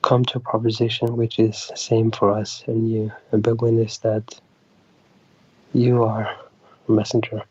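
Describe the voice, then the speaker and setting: A man speaks in a flat, even tone, hesitant and measured as he reads passages aloud. His audio is muffled and dull. The sound is dry, with no room echo. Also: fairly low pitch, husky. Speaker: debate guest. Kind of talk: debate, reading aloud.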